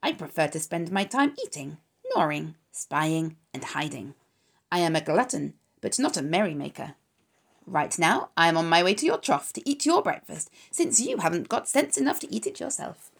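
A woman's voice: lowish voice, very animated, normal speed, gravelly, husky, full character voice. A woman voicing a sour, crafty male rat who drawls out his words.

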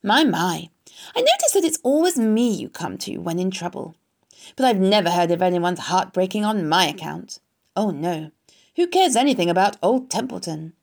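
A woman puts on a grumbling old male rat from a storybook, with swooping, sarcastic intonation and a creaky edge. He is mocking and full of theatrical self-pity. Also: mid-range voice, big pitch swings, normal speed, darkish tone.

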